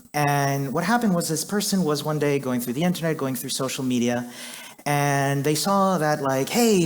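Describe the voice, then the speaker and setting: A man from Chile, likely in his thirties, giving a chatty, self-deprecating conference talk on stage with lots of fillers. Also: on the high side. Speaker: conference speaker.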